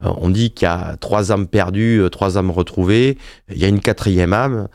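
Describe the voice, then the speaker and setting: A man's voice: low voice, moderate pace, a little mellow, dry recording, clean studio sound. A man in his fifties, an author being interviewed on radio, talking with many hesitations and restarts. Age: 50s. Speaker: author and wine journalist.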